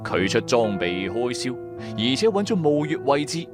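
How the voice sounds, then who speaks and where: An animated male narrator with a Cantonese accent, his pitch moving widely as he tells a scripted tale in the first person. Music plays behind his mid-pitched voice. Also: moderate pace.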